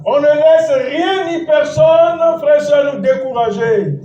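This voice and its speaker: A man's voice: very high voice, slow pace, warm tone. A man preaching a sermon as pastor, exhorting and calling for responses from the congregation. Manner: exhorting, repeats phrases, calls and responses with congregation. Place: church. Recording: echoey church hall, distant mic, dull sound.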